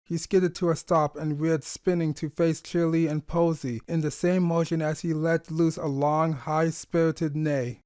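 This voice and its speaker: A man's voice: fairly high pitch, flat delivery, measured pace, few pauses, a little mellow, clear, resonant tone, no room sound, clean studio sound. A man reading a scripted story aloud as its narrator. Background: faint music.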